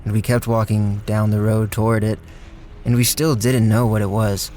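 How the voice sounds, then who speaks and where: A man plays a low-pitched handyman in a radio drama, easygoing and a little shady. He tells things in a folksy, colloquial, rambling way, with music underneath. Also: flat delivery, normal speed, darkish tone, lightly acted. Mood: reflective, plainspoken. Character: easygoing handyman and companion telling his shady story. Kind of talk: radio drama first-person narration and dialogue.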